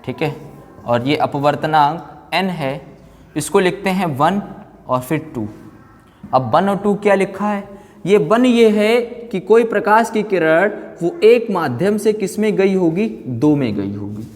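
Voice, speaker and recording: A man's voice: sits high, lively intonation, measured pace. A man teaching an exam-coaching class informally, asking questions and answering them himself, repeating key phrases and prompting his students. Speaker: physics teacher. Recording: echoey hall-like room reverb.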